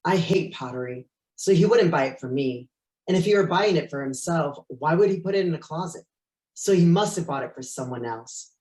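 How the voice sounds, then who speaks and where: A man reading the part of a gruff, jealous fifty-year-old husband in an animated comedy table read. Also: a little high, moderate pace, lightly acted. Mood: distressed, suspicious. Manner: gruff, indignant. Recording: roomy, echoing remote microphone.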